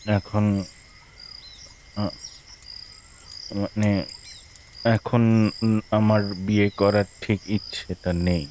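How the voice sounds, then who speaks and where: A man's voice: lowish voice, little pitch movement, drawn-out words, long pauses, darkish tone, a little hiss or hum. An actor playing a hesitant, educated young man who argues mildly with his father and hesitates as he speaks. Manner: hesitant, mumbling.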